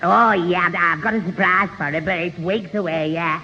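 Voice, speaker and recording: Gormless young suitor, bright high voice, slow-witted stammer, vintage radio comedy in a hall. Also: male voice. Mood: earnest, dim. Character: dim-witted, eager, gormless young boyfriend. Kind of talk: 1970s radio sitcom acting before an audience. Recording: dull old radio hall recording, hiss.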